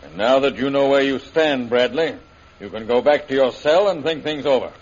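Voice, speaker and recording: An actor playing a gloating, swaggering warlord villain in 1930s radio style, with a husky voice and mocking manner. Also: male voice, medium pitch, moderate pace, lightly acted. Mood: commanding. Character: gloating male warlord villain plotting to rule China. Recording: muffled, dull old radio recording.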